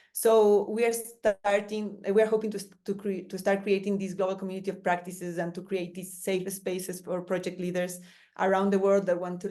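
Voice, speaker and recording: A woman's voice: mid-range voice, a little mellow. A woman presenting at an online seminar, speaking with frequent restarts and fillers. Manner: hesitant, many restarts and fillers. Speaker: panelist.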